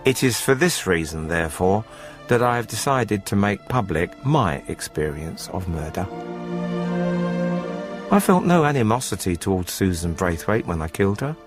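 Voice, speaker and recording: An actor playing a cold, methodical poultry farmer who confesses to murder in a formal, matter-of-fact, unrepentant radio drama narration. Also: male voice, low-pitched, big pitch swings, normal speed, over music. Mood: detached, self-assured.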